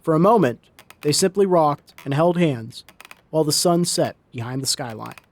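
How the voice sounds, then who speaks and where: A man's voice: fairly high pitch, animated, normal speed. A man reading a scripted story as a dramatic narrator. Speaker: narrator, reader. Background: story sound effects.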